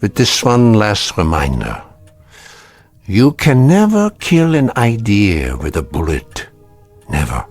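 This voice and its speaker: A deep, grave old man faces death without fear. His low voice swings widely between quiet weariness and sudden bursts. Slow, measured delivery over vintage drama music. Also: wildly swooping pitch, exaggerated voice. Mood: defiant. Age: older. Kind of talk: 1950s television drama. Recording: vintage broadcast soundtrack with music.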